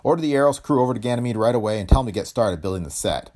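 A gruff, decisive film boss from a space adventure, acted by a male reader. He is brusque and slangy, with a growl over a soft heart, and his delivery is lively and varied. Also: mid-range voice, racing, voice pushed up, natural voice. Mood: brisk, decisive.

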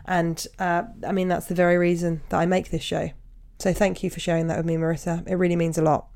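A woman's voice: on the low side, flat delivery, fast talker, gravelly, darkish tone. Gushing woman hosting a podcast. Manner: gushing, enthusiastic praise. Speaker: podcast host. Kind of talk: casual interview chat. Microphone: video call.

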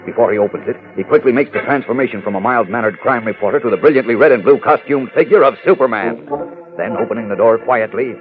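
A man narrating and announcing a 1940s radio serial in a dramatic, declamatory style. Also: lowish voice. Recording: muffled old radio recording with music.

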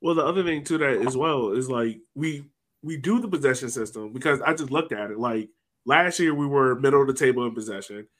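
A man's voice: medium pitch, a little fast, a bit bright. A man talking casually and colloquially as a sports podcast host, sometimes voicing imagined reactions.

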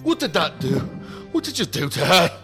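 Distraught captive guy, high voice swinging all over, blunt n defiant, audio drama with music going. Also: sing-song, moderate pace, sharp tone, exaggerated voice. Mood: panicked. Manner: blunt, rough grammar, emotional. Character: defiant, desperate captive man protecting a frightened woman. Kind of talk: science fiction audio drama acting.

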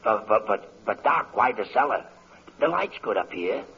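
An actor playing a nervous, easily alarmed American patient in a 1940s radio comedy, jittery and stammering. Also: male voice, lowish voice, expressive, normal speed. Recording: muffled, noisy vintage radio recording.

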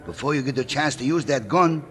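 Pancho, a man acting the excitable sidekick. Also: medium pitch, a little fast, close to an everyday voice. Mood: worried. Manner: broken English, calls himself by name. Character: excitable loyal Mexican sidekick, middle-aged man. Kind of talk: 1950s radio drama acting. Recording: old radio recording with crowd noise.

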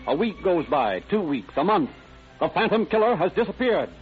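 An actor playing the grave, dramatic narrator of an old-time radio crime story, in scripted narration. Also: male voice, high-pitched, sing-song, moderate pace. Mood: ominous, dramatic. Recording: tinny old radio, loud music.

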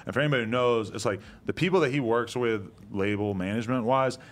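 A man's voice: medium pitch, fast talker. A man casually co-hosting a podcast, loose and slangy with lots of fillers. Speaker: podcast co-host. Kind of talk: casual chat.

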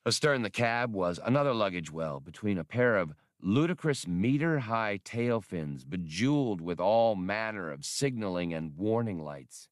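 A man's voice: on the low side, animated, moderate pace, few pauses, no echo, studio quality. A man reading adventure fiction as a scripted, dramatic audiobook narration. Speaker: audiobook reader.

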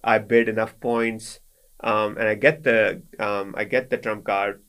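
A man's voice: fairly low pitch, little pitch movement, unhurried, fairly bright tone, clear, resonant tone. A young man in his twenties from Pakistan, a native Sindhi speaker, chatting casually as a guest with lots of fillers and restarts. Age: 20s. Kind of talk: casual conversation.

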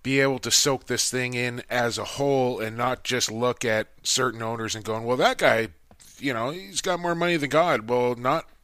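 A man's voice: mid-range voice, normal speed, a bit bright, no room sound, clean studio sound. A man hosting a sports talk show, chatting casually and teasing his guest. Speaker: podcast host.

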